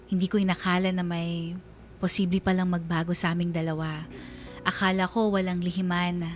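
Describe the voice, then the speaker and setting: A woman's voice: lowish voice, flat delivery, normal speed, soft background music. A woman reading a scripted first-person radio drama narration in a confiding, sentimental manner.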